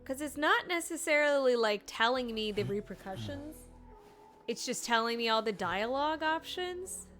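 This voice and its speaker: A woman's voice: on the high side, animated, normal speed, a bit bright. A woman chatting casually and informally as she streams a game. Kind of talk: casual livestream chat. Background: game music.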